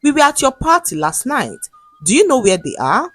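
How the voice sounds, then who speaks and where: A distraught young woman, played by a female storyteller, pleads urgently and emotionally in a folktale. Her husky voice jumps around in pitch. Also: wildly swooping pitch, moderate pace, soft background music, heavily acted. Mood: anxious disbelief. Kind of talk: dramatic storytelling dialogue.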